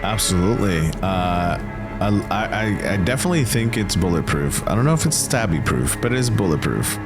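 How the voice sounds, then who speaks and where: A man working as game master, narrating tabletop roleplay scenes deliberately to the players. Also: low-pitched, expressive. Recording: music under the voice.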